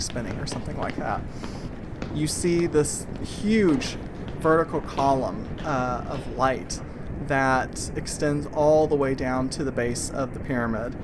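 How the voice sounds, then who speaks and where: Man narrating a game over clear background noise. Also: mid-range voice. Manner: casual, halting, descriptive. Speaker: game master. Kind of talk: improvised tabletop game narration.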